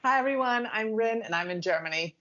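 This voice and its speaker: A woman's voice: on the high side, expressive, brisk, fairly bright tone. A woman giving her opinions as a panelist in a casual chat, on a dull lo-fi recording. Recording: dull, lo-fi band-limited sound.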